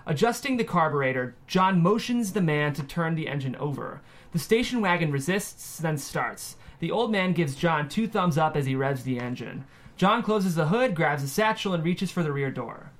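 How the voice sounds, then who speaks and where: Higher-pitched man narrating a screenplay. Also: animated, moderate pace, fairly bright tone. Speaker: stage-direction reader.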